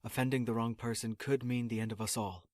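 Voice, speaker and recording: A man's voice: medium pitch, flat delivery, fast talker, darkish tone, no echo, lightly acted. A man playing an exasperated, officious assistant in a sci-fi comedy audio drama, formal, sarcastic and condescending. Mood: stern, lecturing. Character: exasperated, officious male assistant prepping space recruits.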